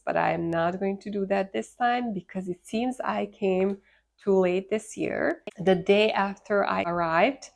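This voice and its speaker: A woman's voice: mid-range voice, creaky. A congested woman from Serbia with a Serbian accent, chatting casually as the host of a gardening vlog. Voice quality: congested from a sinus infection. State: congested with a sinus infection. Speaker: host of a succulent gardening channel.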